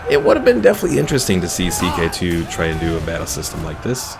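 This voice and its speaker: A deep-voiced man with a gravelly edge gives relaxed commentary on a strategy game during a live stream. He reacts to the action as it happens and reads viewer messages aloud, with game music playing behind him. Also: rapid-fire.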